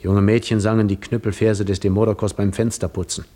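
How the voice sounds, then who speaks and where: An actor playing a weary, proud seafarer lost in memories, in a poetic, theatrical 1950s radio monologue. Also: male voice, on the low side, level tone, a little fast, mellow, close to an everyday voice. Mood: wistful, reflective. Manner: poetic, reflective, theatrical delivery.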